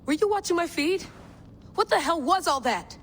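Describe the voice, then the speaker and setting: A woman's voice: very high voice, expressive, moderate pace, a little hiss or hum. A woman playing a determined, intense modern field agent in a game cutscene, speaking directly in clipped phrases. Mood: agitated. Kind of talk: naturalistic game cutscene acting.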